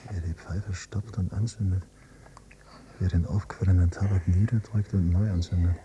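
An actor playing a brooding, detached adult son in a radio drama, narrating in terse, subdued phrases. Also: male voice, low-pitched, fairly even intonation, normal speed, highs cut off, lightly acted. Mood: brooding disgust. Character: brooding, detached adult son, quietly disgusted by his father. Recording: noisy, humming cassette copy.

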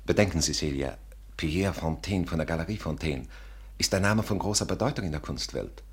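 An actor playing a vain, flattering Parisian art dealer, suave, florid and pompous, in a 1950s radio drama. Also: male voice, deep voice, a little fast, breathy edge. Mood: effusive.

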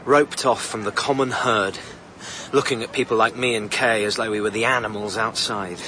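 A bitter, sardonic outsider. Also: male voice, on the low side, steady tone, normal speed, bright, forceful tone, slight background noise. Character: Latimer, bitter, resentful outsider young man. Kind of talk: BBC radio drama acting.

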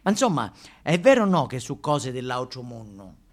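A man's voice: medium pitch, very animated, normal speed, background noise. A man with a Sicilian accent delivers a theatrical monologue as a stage narrator, in an emphatic, rhetorical manner. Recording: background music under the voice. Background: music.

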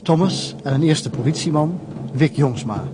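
A man formally announcing the closing credits of a radio play, in a measured tone. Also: fairly high pitch, lively intonation. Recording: closing music behind.

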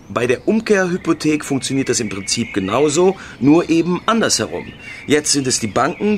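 An actor plays a male bank employee in a radio play, businesslike in manner. His voice sits at a middle pitch, and faint noise runs behind it. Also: natural voice.

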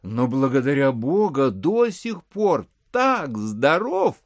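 An actor playing a sugary, gracious, slow-witted country landowner in an audio drama, cloyingly polite and hesitant. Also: male voice, mid-range voice, sing-song, dry recording, studio quality. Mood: sugary, obliging.

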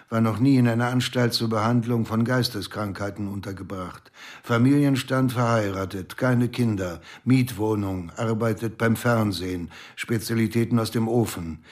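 A man's voice: mid-range voice, darkish tone, natural voice. An actor playing a stern police commissioner in a radio drama, dry and bureaucratic in his delivery. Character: stern male police commissioner, officious and annoyed.

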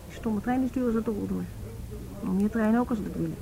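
A woman's voice: mid-range voice, fast, creaky, a crowd in the background, close to an everyday voice, poor audio. A young woman acting in a radio play as a cynical, argumentative student activist, in naturalistic dialogue. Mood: gloomy, cynical.

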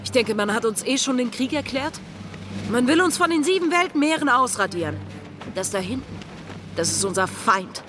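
A woman's voice: fairly high pitch, lively intonation, moderate pace, over music, natural voice. An actress playing a bold, defiant pirate woman in a German radio drama, challenging and insistent. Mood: defiant, urgent. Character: bold, defiant female pirate plotting mutiny, fearless. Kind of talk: German audio drama acting.

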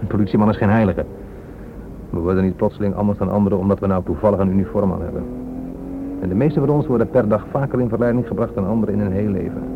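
A man's voice: on the low side, lightly acted. A man acting a weary, corrupt police inspector in a radio play, frankly explaining and justifying himself. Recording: muffled lo-fi radio recording, strong noise.

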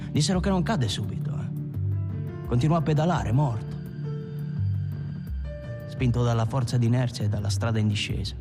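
An actor as a gangster reminiscing over music. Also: male voice, mid-range voice, close to an everyday voice. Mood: bitter, admiring. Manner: conversational storytelling with rhetorical tags. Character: male Italian postwar gangster recounting his violent past. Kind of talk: dramatic first-person monologue in a film. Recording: music behind the voice.

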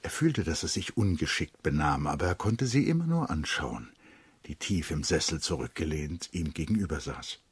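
A man giving measured, scripted literary narration for a radio play. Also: a bass voice, animated, breathy edge.

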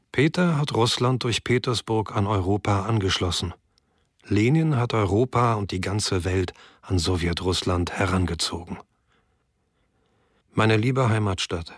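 A man voicing an elderly historian and archivist in a radio drama, reading his wartime diary in a solemn, measured way. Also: on the low side, dry recording, studio quality.

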